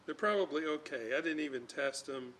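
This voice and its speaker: A man giving an unscripted hands-on repair demonstration, talking in a halting way as he thinks aloud. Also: fairly high pitch, fairly bright tone. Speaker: host, electronics restorer. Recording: clear background noise.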